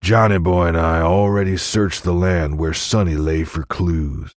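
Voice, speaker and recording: Man acting a deep, grim Old West sheriff. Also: fairly even intonation, dry recording, clean studio sound. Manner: slow, folksy, blunt.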